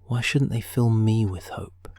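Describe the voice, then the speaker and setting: A man playing a brooding, suspicious cat nobleman in a theatrical Shakespearean audio drama, measured and deliberate with dramatic pauses. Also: fairly low pitch, darkish tone, no room sound. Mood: musing, hopeful.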